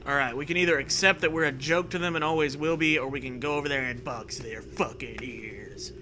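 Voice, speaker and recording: Guy doing a comedy story read, really forceful bright voice, jokey n sweary, some noise behind him. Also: a little high, fairly even intonation, brisk. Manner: profane, jokey, theatrical delivery. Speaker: host reading a choose-your-own-adventure story aloud. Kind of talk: comedic read-aloud with casual riffing.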